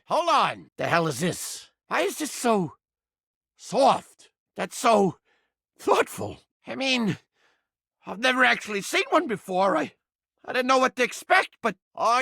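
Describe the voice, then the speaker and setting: A crusty little mouse trap from a video game, brash and streetwise. The voice is piercing and strident, leaping up and down in pitch, recorded very dry. Under the surly bluster sits a soft heart. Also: male voice, vocal fry, husky, dry recording, heavily acted. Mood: amazed delight. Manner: brash, yelling, streetwise slangy wisecracking. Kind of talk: video game character acting.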